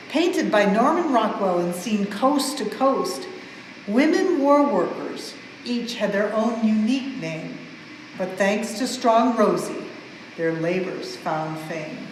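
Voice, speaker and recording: A woman reading a rhyming storybook aloud in an expressive, measured way, as for a museum storytime. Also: mid-range voice. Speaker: museum staff member reading a children's book aloud. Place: indoors in a museum exhibit space. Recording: very echoey reverberant hall, faint background noise.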